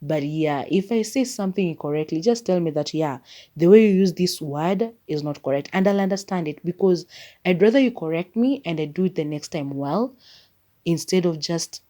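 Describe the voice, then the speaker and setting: Low, mellow-voiced Kenyan woman. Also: lively intonation, normal speed. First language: Maragoli. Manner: chatty and rambling, leans on "like" as filler. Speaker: podcast host. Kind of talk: casual solo podcast monologue.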